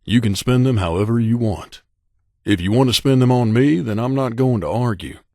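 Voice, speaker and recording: A man playing a grizzled, guarded veteran mercenary in a video game, with a gruff, curt, world-weary manner. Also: mid-range voice, moderate pace, warm tone, no echo, clean studio sound, close to an everyday voice. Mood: guarded, softening. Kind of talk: video game companion dialogue.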